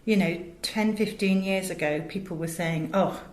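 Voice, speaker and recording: A woman's voice: on the low side, moderate pace, darkish tone. A middle-aged British woman chatting articulately and emphatically as an interview guest, telling anecdotes about her work. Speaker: interview guest, audio director and drama school teacher. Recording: roomy, echoey indoor sound.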